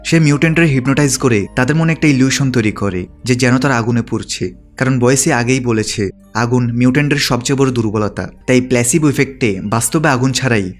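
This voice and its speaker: A man reading a scripted story recap in a steady, continuous storytelling manner. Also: medium pitch, fairly quick, faint music behind. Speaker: movie recap narrator. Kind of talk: scripted narration, plot recap.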